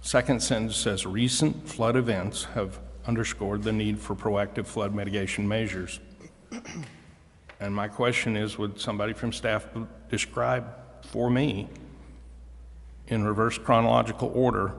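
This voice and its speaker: A man questioning formally and deliberately as a council member at a public meeting. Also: fairly low pitch, steady tone, vocal fry. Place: council chamber. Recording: reverberant hall.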